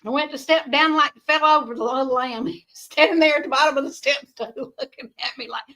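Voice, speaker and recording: Chatty high-voiced bright woman. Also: brisk. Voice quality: high-pitched. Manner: rambling, chatty, laughs often. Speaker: homestead vlogger. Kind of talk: casual chat to viewers.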